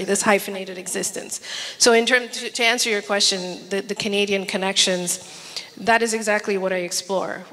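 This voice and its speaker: Woman from Bangladesh, author panelist, flat even delivery, handheld mic, hall reverb. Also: mid-range voice. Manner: earnest, repeats words while searching for them. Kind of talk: panel discussion answers. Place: large event hall with an audience.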